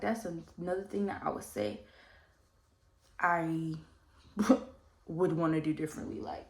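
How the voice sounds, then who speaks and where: A young woman of about twenty with a slightly low, faintly stuffy voice, talking to her camera while she does her makeup. She rambles in a relaxed way and leans on "like" and "you know what I mean.". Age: around 20. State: slightly congested, coming down with a cold. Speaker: vlogger. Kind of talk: casual get-ready-with-me Q&A chat.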